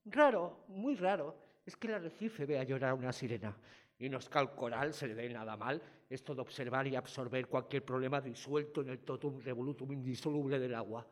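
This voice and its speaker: A man from performing spoken-word poetry in a theatrical, expressive way. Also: mid-range voice, brisk. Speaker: slam poet.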